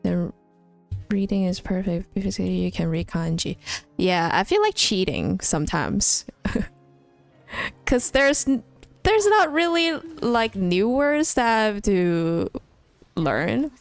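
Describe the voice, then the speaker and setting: A woman's voice: mid-range voice, expressive, slow, vocal fry, pauses often, dry recording. A young woman whose first language is Chinese, chatting casually while she streams a game, with many fillers and restarts. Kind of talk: casual chat while gaming. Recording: faint music behind.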